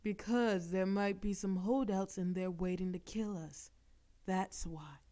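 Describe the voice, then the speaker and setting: A woman's voice: medium pitch, fairly even intonation, measured pace, mellow. A woman voicing a bold, flirtatious female mafia boss in an audio roleplay. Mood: focused. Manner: teasing, flirtatious. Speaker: voice actress.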